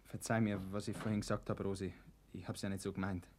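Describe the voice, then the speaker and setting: A man's voice: on the low side, flat delivery, fast, close to an everyday voice. An actor playing a blunt, impatient and incredulous young family man in a German radio play. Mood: subdued. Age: young adult. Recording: noisy old radio recording.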